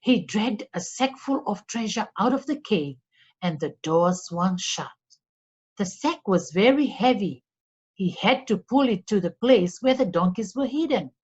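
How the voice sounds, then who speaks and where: Slow, expressive woman reading a storybook. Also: medium pitch, breathy edge, dull audio. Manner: deliberate, expressive read-aloud for children. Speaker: storyteller for a read-along. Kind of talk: scripted storybook narration.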